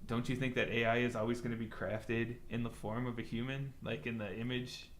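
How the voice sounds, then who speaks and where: A man's voice: medium pitch, flat delivery, fairly quick, slight background noise. A man co-hosting a podcast in casual, conversational discussion. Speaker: podcast co-host.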